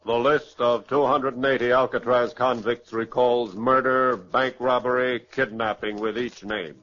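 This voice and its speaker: An American man narrates with grave, theatrical emphasis at a medium pitch. It is 1940s radio crime storytelling, with the dulled sound of an old broadcast. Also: fairly even intonation, measured pace, a bit bright, dry recording, muffled recording.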